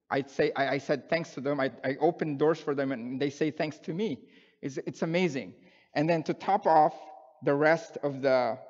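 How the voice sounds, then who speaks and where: A man, preaching a sermon in a conversational way, often restarting his words. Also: medium pitch. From Philippines. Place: large church sanctuary, indoors. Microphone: headset mic. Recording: muffled sound with long hall reverb.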